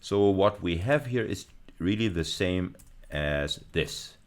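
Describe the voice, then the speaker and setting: A man giving an explanatory lecture, speaking deliberately and emphatically, often repeating and rephrasing key phrases. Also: low voice, expressive. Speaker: university lecturer.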